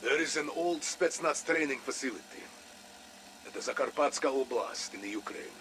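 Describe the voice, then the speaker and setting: A man playing a shrewd, wary Russian spy in a video game, with a Russian accent and measured, sly phrasing. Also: mid-range voice, expressive, pushed and bright, slight background noise. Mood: guarded, firm. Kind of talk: cinematic video game voice acting.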